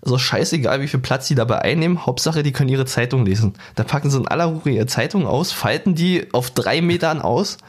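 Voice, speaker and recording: A man's voice: mid-range voice, animated, a little fast, hardly any pauses, breathy edge. Man ranting about his train trips. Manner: ranting anecdotes, joking. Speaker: podcast co-host. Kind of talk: casual chat, storytelling.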